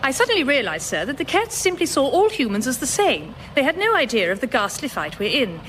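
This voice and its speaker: An actress playing a brisk, formal wartime lady scientist with a British accent in a radio comedy sketch. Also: high voice, huge pitch swings, a bit bright, a little hiss or hum, heavily acted. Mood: brisk, assured. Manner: formal, matter-of-fact explaining.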